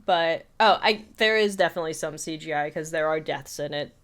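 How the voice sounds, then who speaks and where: Woman, slightly low voice, podcast co-host, casual rambling film chat. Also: fairly quick, fairly bright tone. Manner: rambling, peppers talk with "like".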